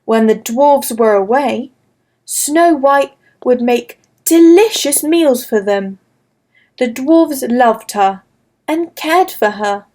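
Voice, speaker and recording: A woman narrates a classic fairy tale for children in a slow, careful, gentle reading. Her voice sits high and the pace stays unhurried throughout. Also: animated. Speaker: storyteller. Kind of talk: scripted children's story narration.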